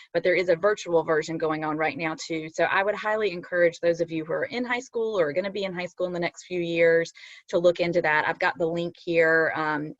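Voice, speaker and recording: A woman with a lowish voice hosts a career panel and gives students fluent, encouraging advice. The video call audio is dull and dry, with no room sound. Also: no room sound. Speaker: panel host.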